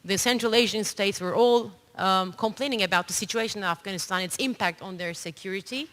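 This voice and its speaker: A middle-aged woman with a bright, crisp voice speaks earnestly at a panel, measured and pausing on fillers. The room adds a long echoing tail to every phrase. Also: medium pitch. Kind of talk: unscripted panel remarks. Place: large conference hall. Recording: long hall reverb.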